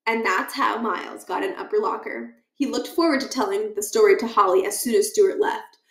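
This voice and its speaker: A woman reading a children's book aloud as an expressive storyteller, with animated, deliberate emphasis. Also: medium pitch. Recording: echoey, roomy sound.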